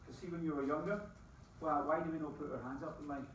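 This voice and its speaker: A middle-aged Scottish man giving a lively motivational talk to a live audience, quizzing the crowd with folksy asides. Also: a little high, fast, a little hiss or hum. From Scotland. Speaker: business opportunity presenter. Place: presentation room with an audience. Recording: very echoey room, distant mic.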